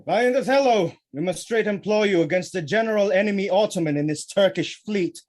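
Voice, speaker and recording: An actor voices a decisive head of state in a Shakespearean audio drama. His voice is a little high for a man, and his delivery is formal and courtly. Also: a little fast, a little mellow, close to an everyday voice. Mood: authoritative. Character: formal, authoritative male ruler of state.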